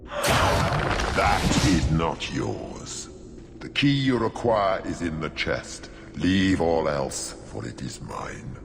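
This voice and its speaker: A menacing game demon, very low and gravelly. The speech is imperious and possessive, drenched in long reverb as it lays down threats. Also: male voice, sing-song, extremely slow, exaggerated voice. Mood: possessive menace. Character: menacing, possessive ancient demon bargaining for treasure. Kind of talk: video game voice acting. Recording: long reverb with music underneath.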